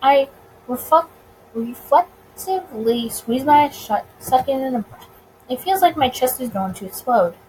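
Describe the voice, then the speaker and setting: A woman reading a visual novel aloud as a let's player, expressively and with dramatic pauses. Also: sits high, animated, a little mellow, a little hiss or hum, poor audio. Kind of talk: expressive story reading with reactions.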